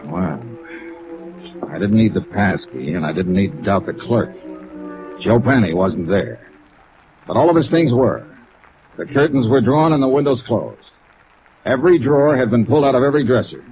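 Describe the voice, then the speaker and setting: A man's voice: on the low side, lively intonation, moderate pace, long pauses. An American actor playing a terse, wry insurance investigator who narrates his case in a clipped 1950s radio detective style. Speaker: lead actor in detective serial. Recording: tinny 1950s radio, music and rain behind.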